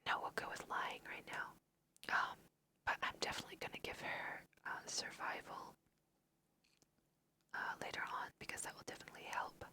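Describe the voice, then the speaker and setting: A woman whispering casual, chatty ASMR commentary over a video game, thinking aloud in a breathy voice. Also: normal speed, long pauses, bright, forceful tone. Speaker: host of an ASMR let's play.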